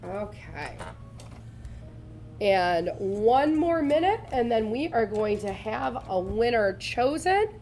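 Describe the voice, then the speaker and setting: A woman, slightly raspy from laryngitis, giving a chatty, upbeat live sewing tutorial in a studio. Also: fairly high pitch, sing-song, slow pace, darkish tone, a little hiss or hum. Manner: chatty, upbeat, addresses viewers directly. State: recovering from lost voice. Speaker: embroidery demonstrator. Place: studio set with shelves, indoors.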